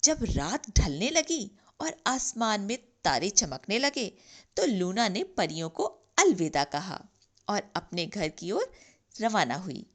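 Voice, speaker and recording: A woman narrating a bedtime fairy tale in a gentle, measured reading style. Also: mid-range voice. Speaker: storyteller. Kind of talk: slow scripted bedtime story narration. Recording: narration over clear background music.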